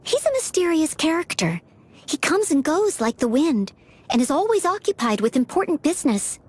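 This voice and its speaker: A woman's voice: high-pitched, very animated, normal speed. A woman voicing an earnest, polite teenage maid and aspiring knight in a video game, eager to please. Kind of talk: video game character voice lines. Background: background music.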